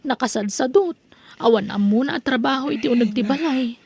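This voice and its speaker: A woman's voice: sits high, brisk, vocal fry, a little mellow, breathy edge, full character voice. A woman playing an apologetic mother who defends a lazy child, in an Ilocano comedy radio drama. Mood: apologetic, pleading.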